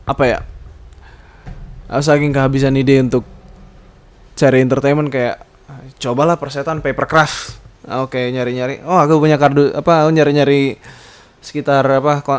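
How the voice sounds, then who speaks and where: Casting: male podcaster, twenties, mid-pitched, informal rambling monologue with frequent searching for words. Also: clean tone. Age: 20s. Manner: hesitant, searching for words, informal slang mixed with English. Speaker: podcast host.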